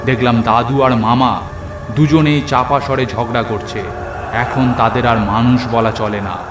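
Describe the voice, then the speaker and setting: A man's voice: mid-range voice, fairly even intonation, measured pace. Echoey male horror story narrator. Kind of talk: first-person dramatic horror storytelling. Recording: echoey narration over music and rain.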